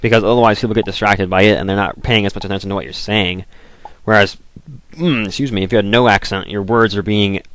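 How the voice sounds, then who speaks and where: A man's voice: on the low side, fairly even intonation, fast, a bit bright, low-quality recording. A young man from the southern United States giving casual, rambling commentary over a video game, full of "like" and restarts. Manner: rambling, filler-heavy, restarts, frequent "like".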